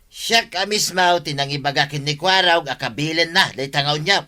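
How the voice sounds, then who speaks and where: A man's voice: on the high side, normal speed, pushed and bright. An actor with an Ilocano accent playing a blunt, meddling village man in a comedy radio drama. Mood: insistent.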